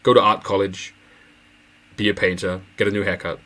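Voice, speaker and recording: A man with a very deep, low-pitched voice rambles through a casual pop-culture chat. He jokes, drifts off on tangents and keeps breaking into laughter. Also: level tone, normal speed, bright, forceful tone. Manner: laughs often, rambling and jokey. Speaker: podcast co-host.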